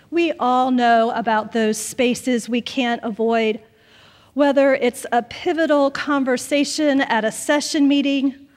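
A middle-aged woman pastor delivering a sermon from a pulpit in a church, with deliberate, expressive phrasing and dramatic pauses. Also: high voice. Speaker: pastor preaching. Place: large wood-panelled church sanctuary. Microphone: pulpit microphone. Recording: long church reverb.

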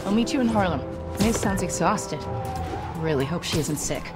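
A woman's voice: on the low side, animated, normal speed, lightly acted. A woman playing a young, determined, wisecracking superhero in a video game. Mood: concerned, focused. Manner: wisecracking, earnest. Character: young, quippy, determined masked city superhero. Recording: loud music over the voice. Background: loud game music.

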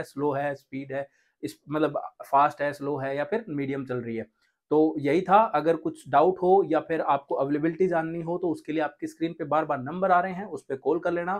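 A man's voice: on the high side, a bit bright. A young man in his twenties hosting a real estate channel, talking in a casual, chatty way and dropping English phrases into his speech, recorded on a lapel mic in a studio. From India. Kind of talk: casual Hinglish property update. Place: indoor studio set with bookshelves.

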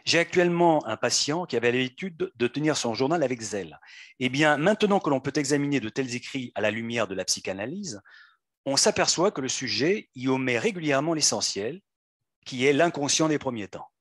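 Man reading aloud, measured. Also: medium pitch, lively intonation, fairly bright tone, no echo, studio quality. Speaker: reader. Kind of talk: reading texts aloud at a public event. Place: conference room. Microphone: handheld microphone.

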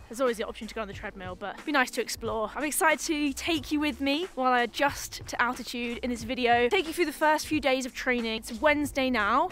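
A woman's voice: sits high, fairly bright tone. Upbeat young woman vlogging to camera. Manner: chatty, upbeat, laughs easily. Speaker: vlogger and runner. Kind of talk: casual vlog to camera. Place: outdoors in snowy streets and indoors at home. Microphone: handheld camera at arm's length.

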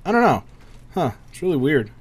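A man giving casual, profane gameplay commentary, thinking out loud and reacting as he plays. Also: on the high side, sing-song, fairly quick, husky. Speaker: gamer recording a playthrough.

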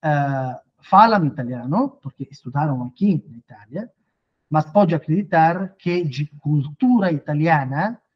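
A man's voice: a little high, expressive, measured pace, slightly rough. A man in his thirties, a lawyer, answering interview questions from a home office over a video call, often hesitating with fillers and restarts. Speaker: lawyer interviewed on citizenship law. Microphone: video call webcam. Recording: dull lo-fi video call audio.

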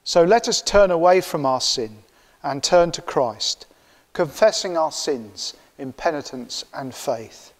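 Older minister, solemn, church reverb. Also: male voice, a little high, lively intonation. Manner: measured, solemn recitation. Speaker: minister leading the service. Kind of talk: liturgical prayers and confession. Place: church sanctuary, indoors.

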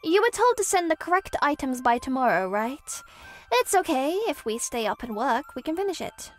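A woman's voice: high-pitched, sing-song, normal speed, faint music behind. A woman voicing an anxious, perfectionist young career woman with a dramatic, needy streak, in an anime-style dub. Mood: firm, composed. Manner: melodramatic, whiny when distressed, composed at work.

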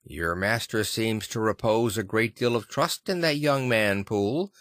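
A man voicing a perplexed, earnest gentleman lawyer in an audiobook, musing and emphatic. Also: lowish voice, measured pace, no echo, clean studio sound. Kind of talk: dramatic audiobook character reading.

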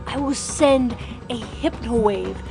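A woman voicing a vengeful evil talking staff in an amateur comic dub, with an ominous, deliberate manner. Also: a little high, creaky, music in the background. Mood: commanding.

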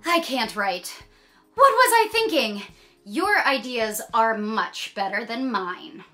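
Woman acting flustered storybook author, high animated voice, emphatic, slow delivery, faint music behind. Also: heavily acted. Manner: dramatic, emphatic, fed up. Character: exasperated storybook narrator and author, increasingly flustered. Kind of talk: animated children's picture-book read-aloud.

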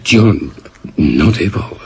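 Uneasy gentleman, lowish voice, very varied pitch, hesitant, Spain accent, radio mystery. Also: animated, drawn-out words, husky, full character voice. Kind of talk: Spanish radio suspense drama acting.

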